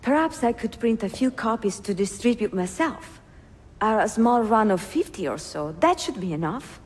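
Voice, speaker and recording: Game heroine: bookish young Italian woman, higher register, Italian accent, poised and articulate, reverberant recording. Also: very animated, normal speed, slightly rough. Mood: eager, curious. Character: educated young Italian bookseller, poised and passionate. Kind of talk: game cutscene acting.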